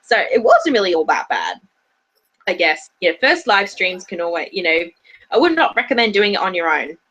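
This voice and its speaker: A woman's voice: mid-range voice, sing-song, normal speed, a bit bright, rough recording. A woman with a British-tinged Australian accent, chatting casually on a livestream as co-host, rambling with many restarts. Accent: mixed British and Australian. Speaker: YouTube anime reviewer, livestream co-host.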